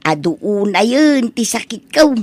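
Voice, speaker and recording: A woman playing an ailing, vain elderly mother in a comedy audio drama, with an Ilocano accent and a plaintive, drawn-out delivery. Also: on the high side, sing-song, a little mellow, exaggerated voice. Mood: vain, coy.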